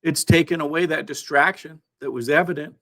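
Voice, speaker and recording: Halting man counting down the news. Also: on the high side, fairly bright tone. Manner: halting, with frequent throat clearing and wry asides. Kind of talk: news commentary, part read, part ad-libbed.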